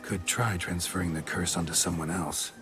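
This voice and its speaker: An actor playing a stoic, gruff monster hunter in a video game, speaking in terse, clipped phrases with a low, gravelly voice. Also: male voice, fairly even intonation, normal speed, a bit bright, faint music behind. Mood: grave, matter-of-fact. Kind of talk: video game cutscene acting.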